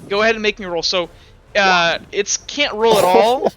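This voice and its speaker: A man's voice: sits high, normal speed, crowd noise. A man running a tabletop game as game master, talking through the rules and dice aloud and often correcting himself. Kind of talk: narrating and refereeing a tabletop game.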